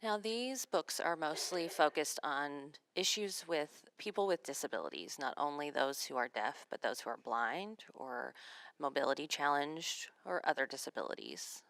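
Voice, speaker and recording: A woman working as a sign language interpreter, voicing a signed seminar talk in measured, phrase-by-phrase delivery. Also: low-pitched, sing-song, vocal fry, a bit bright, no room sound.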